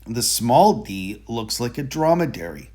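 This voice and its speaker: Male children's book reader, expressive and animated, unhurried pace, slightly husky tone. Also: mid-range voice. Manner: deliberate, expressive storytelling for young children. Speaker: storybook reader. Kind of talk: children's picture book read-aloud.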